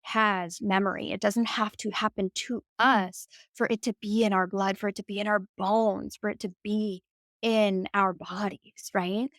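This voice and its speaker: A woman's voice: medium pitch, steady tone, unhurried, fairly bright tone, no echo, clean studio sound. A woman speaking as a podcast guest, talking in a reflective way with lots of "like" and "right?". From United States. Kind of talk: conversational interview.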